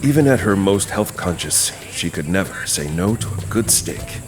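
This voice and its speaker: A man narrating a horror audio drama as host, in a measured, dramatic storytelling manner with pauses. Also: sits low, animated.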